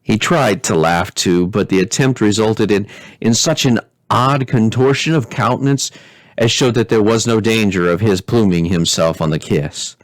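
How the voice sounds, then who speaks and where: A man's voice: lowish voice, normal speed, no room sound, poor audio. Dry-sounding man reading a fairy tale. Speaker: pastor reading stories aloud. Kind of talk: expressive fairy-tale narration.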